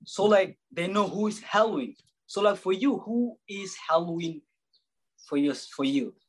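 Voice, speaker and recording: A man hosting a podcast, talking in a lively, informal way and often repeating himself. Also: high-pitched, normal speed, poor audio. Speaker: podcast host. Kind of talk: casual interview hosting.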